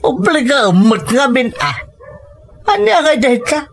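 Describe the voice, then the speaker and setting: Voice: sits high, sing-song, unhurried, heavily acted. An actor playing a stern older father in an audio drama, grumbling and scolding.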